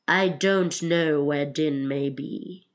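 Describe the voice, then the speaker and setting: A woman voicing a mournful, easily offended male turtle creature from a storybook, in slow, drawn-out, plaintive words. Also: low-pitched. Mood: slow, weary. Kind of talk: storybook character reading.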